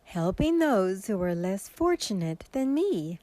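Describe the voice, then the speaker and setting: A woman playing a kind, gentle shelter worker in a radio drama, speaking in an unhurried way. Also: mid-range voice, very animated, dry recording. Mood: gentle, caring.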